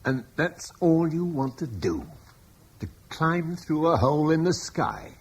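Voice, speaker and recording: An actor playing a thoughtful, idealistic older father, speaking in a reflective, persuasive way with a husky voice. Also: on the high side, very animated, unhurried, full character voice. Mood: wry. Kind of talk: radio drama acting.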